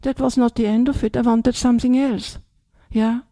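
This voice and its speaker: A woman's voice: fairly high pitch, level tone, normal speed, mellow, no room sound, studio quality. An older woman, a Buddhist nun from Austria with an Austrian German accent, giving a chatty dharma talk that keeps checking in with "yeah?" and "yes?".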